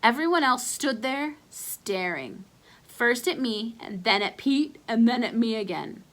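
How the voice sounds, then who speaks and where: A woman reading a children's chapter book aloud as an expressive storyteller. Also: a little high, measured pace.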